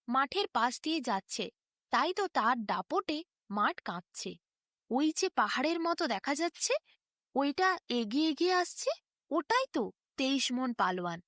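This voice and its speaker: A woman's voice: high voice, a bit bright, dry recording, clean studio sound. A woman voicing awed village farmers in a storybook tale, explaining things emphatically.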